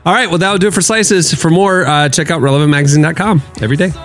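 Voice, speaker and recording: A man's voice: a little high, fast talker, a bit bright, faint music behind. Upbeat man hosting a podcast. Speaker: podcast host. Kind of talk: podcast hosting and promo reads.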